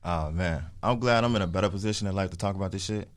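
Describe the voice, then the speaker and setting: Young man, early twenties. Very low voice, dry close sound, slangy and emphatic interview storytelling. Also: fast talker, no room sound. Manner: emphatic, repeats phrases, frequent swearing. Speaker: interview guest, music artist.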